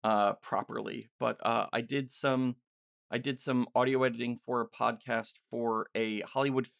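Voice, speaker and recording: A man hosting a podcast in a casual, chatty style, speaking in a halting way with frequent restarts. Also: medium pitch, steady tone, vocal fry, fairly bright tone, no room sound, studio quality. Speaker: podcast host and interviewer. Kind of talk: casual interview chat.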